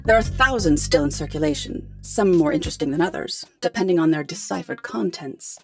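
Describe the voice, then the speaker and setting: An actress playing a poised, articulate professor in a radio drama, explaining things in a measured, polite way. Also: female voice, lowish voice, lively intonation, vocal fry, soft background music. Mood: explanatory. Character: poised, articulate female professor hiring a detective.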